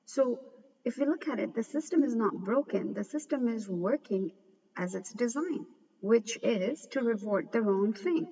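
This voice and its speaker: A woman hosting a book review, talking through its ideas in an earnest, measured way and stumbling now and then. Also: fairly high pitch, lively intonation, dark tone. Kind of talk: reflective book commentary. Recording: dull, echoey recording from a distance.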